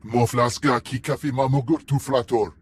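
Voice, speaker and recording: A man voicing a deliberate alien in a video game, speaking an invented tongue. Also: mid-range voice, steady tone, slightly rough, natural voice.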